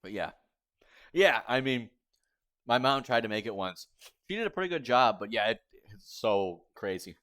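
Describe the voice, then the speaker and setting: A man's voice: mid-range voice, lively intonation, moderate pace, pauses often, a bit bright. A young man in his twenties chatting casually on a live cooking stream, rambling and reading viewers' messages aloud. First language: English. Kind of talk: casual livestream chat.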